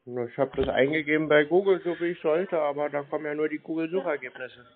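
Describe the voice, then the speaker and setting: A man's voice: fairly high pitch, fast. A man chatting casually on the phone in a laconic, plain-spoken way, over a narrow telephone line. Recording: narrow-band telephone call.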